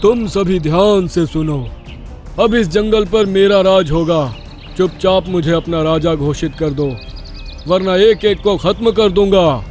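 A man's voice: high-pitched, a little slow, a little mellow, faint music behind. A man voicing an arrogant male lion who wants to rule the jungle, boastful and domineering. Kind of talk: cartoon dubbing.

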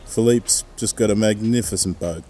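A man's voice: lowish voice, normal speed, music in the background. A man, a sailing vlogger, narrating with gushing enthusiasm while outdoors on a lake. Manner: enthusiastic, effusive. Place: outdoors on a lake, on a small yacht.

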